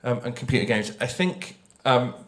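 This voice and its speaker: A man talking informally to an audience as a writer on a panel, animated and conversational, often checking in with questions. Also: medium pitch, moderate pace. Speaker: audio drama writer on a panel.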